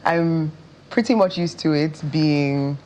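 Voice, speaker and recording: A woman's voice: deep voice, slow pace, a crowd in the background. A woman answering as a performer guest in a casual television interview. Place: television studio.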